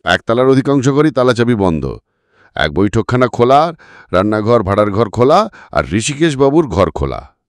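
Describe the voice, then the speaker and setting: A man's voice: medium pitch, very animated, normal speed, dry recording, voice pushed up, close to an everyday voice. A firm male householder read by a male storyteller, stating things in an emphatic, matter-of-fact way. The voice is lifted above the reader's own and sounds dry and close. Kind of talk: audiobook character reading.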